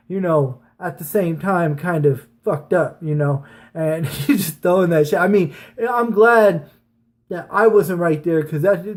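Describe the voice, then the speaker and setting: A man's voice: high voice, darkish tone. A man telling personal stories to his viewers in an informal, rambling, slangy way, with some swearing. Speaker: online storyteller, channel host. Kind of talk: casual personal storytelling to viewers.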